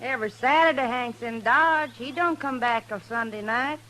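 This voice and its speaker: An actress playing a weary, plain-spoken mountain wife in a radio Western, with folksy Southern backwoods speech. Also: female voice, a little high, moderate pace, sharp tone. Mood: plaintive, resigned. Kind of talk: old-time radio Western acting. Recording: noisy old radio recording.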